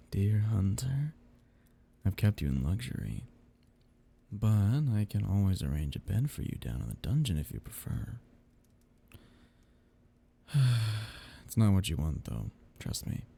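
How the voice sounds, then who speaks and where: A man playing a seductive, teasing, menacing vampire noble in an intimate ASMR roleplay, in a breathy, gravelly murmur. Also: heavily acted. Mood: silky, menacing. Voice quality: husky, breathy, low murmur with gravelly edges. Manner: slow, teasing drawl with soft whispered dips.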